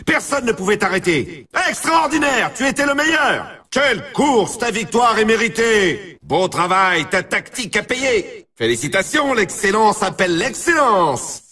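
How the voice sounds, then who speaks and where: A man voicing an excitable, brash announcer for an arcade snowboard racing video game, with punchy, showy, emphatic delivery. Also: high-pitched, measured pace, recorded from a distance, heavily acted. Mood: hyped, congratulatory.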